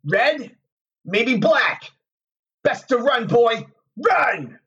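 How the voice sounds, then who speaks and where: A man's voice: very high-pitched, big pitch swings, extremely slow, sharp tone, far from the mic, highs cut off, pitched above the performer's own voice, heavily acted. A man voicing a sardonic old travelling bard giving urgent warnings in a storybook reading.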